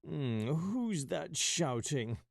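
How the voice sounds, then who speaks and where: A man's voice: on the high side, big pitch swings, no echo, studio quality, voice pushed up, heavily acted. A man doing a huge grumbling lion. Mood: grumpy. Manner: growling, slow and gruff. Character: huge grumpy male lion, king of the jungle.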